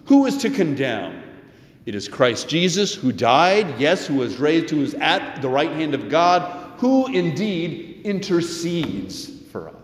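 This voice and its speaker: A middle-aged or older American man preaching as a pastor, measured and deliberate, with pauses and rhetorical questions. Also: a little high, big pitch swings. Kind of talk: sermon. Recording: echoey church hall with long reverb.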